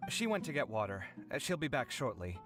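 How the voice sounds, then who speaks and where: Guy acting earnest young man in an anime game, expressive, pitch goes all over, faint music. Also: fairly quick, close to an everyday voice. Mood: relieved. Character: earnest, defensive young male genius, soft-hearted and unlucky. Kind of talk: animated video game voice acting.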